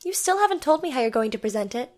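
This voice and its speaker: Casting: young opera ingenue played by a woman, high-pitched, earnest and questioning, for an audio drama. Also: lively intonation, very fast, a little mellow. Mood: curious, tentative. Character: young female opera singer, tentative yet curious. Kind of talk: amateur audio drama acting.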